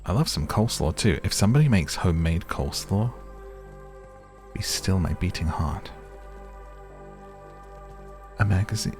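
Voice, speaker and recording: Man, very low voice, gravelly fry, gentle ASMR gaming commentary, faint music behind. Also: expressive, normal speed, long pauses. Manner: gentle, whimsical musing to the listener. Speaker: gaming video host. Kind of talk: relaxed ASMR gameplay commentary.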